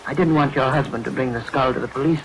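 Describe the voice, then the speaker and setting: An actor playing a nervous, evasive man hiding guilt, halting and apologetic, in vintage radio thriller style. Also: medium pitch, close to an everyday voice, low-quality recording. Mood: pleading, frightened. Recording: vintage radio recording, hiss, rain behind.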